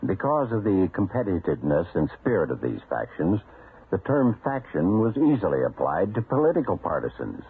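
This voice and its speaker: A man with an American accent and a low, very raspy voice. He delivers scripted explanatory narration as a radio presenter. The recording is dull and old. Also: big pitch swings, moderate pace. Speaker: presenter of an educational radio segment.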